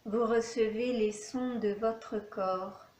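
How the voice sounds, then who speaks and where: A woman teaching yoga nidra, giving calm, soothing guided relaxation instructions with long pauses in an echoey room. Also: on the high side.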